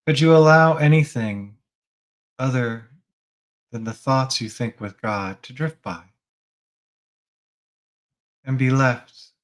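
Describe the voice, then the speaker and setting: A man leading a guided spiritual meditation as a teacher, gently prompting the listener with reflective questions. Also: fairly low pitch, poor audio.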